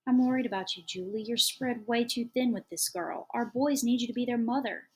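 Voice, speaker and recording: Audiobook: weary, even-tempered dad, read by a woman, calm, firm, soothing. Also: fairly high pitch, a little fast, breathy edge, voice pushed up. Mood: worried. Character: tired, steady foster father, man. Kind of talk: audiobook character voice.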